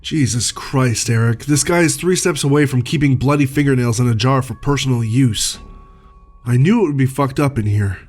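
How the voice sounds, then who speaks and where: Male actor as brash young man, mid-pitched, blunt and shaken, horror audio drama. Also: normal speed, a little mellow, faint music behind, close to an everyday voice. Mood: uneasy, disgusted. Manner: blunt, sweary.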